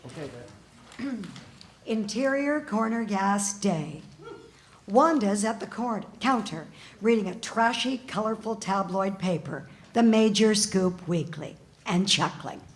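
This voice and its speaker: Woman, stage directions, slow, lively pitch, fairly high voice. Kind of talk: reading stage directions aloud. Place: festival stage before a live audience. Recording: echoey room.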